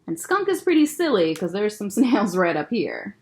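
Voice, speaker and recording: Expressive woman reading a picture book. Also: medium pitch, normal speed. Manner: gentle, expressive, pointing out pictures. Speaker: children's librarian.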